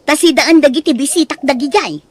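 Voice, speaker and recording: A woman's voice: high-pitched, a little fast, natural voice. A woman playing a bossy, scornful woman in a radio drama, scolding and dismissive as she talks. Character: bossy, scornful gambling daughter who berates her elderly in-laws. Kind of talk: radio-style Ilocano drama acting.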